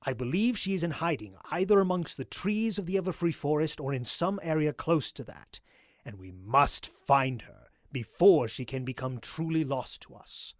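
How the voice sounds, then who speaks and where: A man's voice: fairly high pitch, lively intonation, moderate pace, dry recording, studio quality, natural voice. A man playing a zealous, courteous pony cult leader in an audio drama, speaking in a formal, grandiose way. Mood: resolute, commanding.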